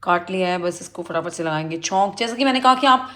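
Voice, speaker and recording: A woman's voice: fairly low pitch, lively intonation, fast talker, bright, forceful tone. A woman lifestyle vlogger talking casually to her viewers, often slipping English phrases into her Hindi. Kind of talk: casual chat to camera. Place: home kitchen while cooking.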